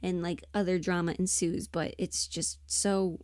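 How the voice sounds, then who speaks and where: A woman chatting casually and emphatically on a livestream, rambling in an animated, opinionated way. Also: fairly low pitch, unhurried, no echo, studio quality. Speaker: livestream host and voice performer.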